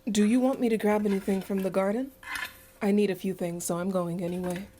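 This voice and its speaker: Radio play, woman as fussing mother goddess, medium pitch, anxious, probing, insistent. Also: steady tone, fairly quick, mellow, a little hiss or hum, lightly acted. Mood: fussing, solicitous.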